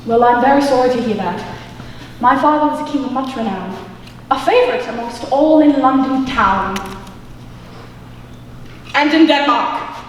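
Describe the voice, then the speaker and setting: A woman's voice: high-pitched, animated, normal speed, pauses often. A young woman playing a bewildered, unprepared actor bluffing through a stage play, hesitant and awkwardly polite. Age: young adult. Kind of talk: stage comedy acting. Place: large indoor school auditorium, on stage. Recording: echoey auditorium, distant mic, faint noise.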